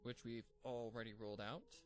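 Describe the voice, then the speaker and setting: A man reading a fanfic aloud, voicing an earnest, bookish young female pony in a gentle, lightly raised voice. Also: medium pitch, flat delivery, normal speed, soft background music, close to an everyday voice. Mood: studious.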